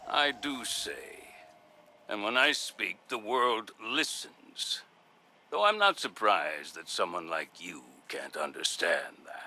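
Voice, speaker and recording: Slow, sharp-edged arrogant villain. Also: male voice, fairly low pitch, expressive, a little hiss or hum, heavily acted. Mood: haughty, scornful. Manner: haughty, deliberate, condescending. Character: arrogant, menacing male strategist villain, coldly superior. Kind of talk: video game cutscene acting.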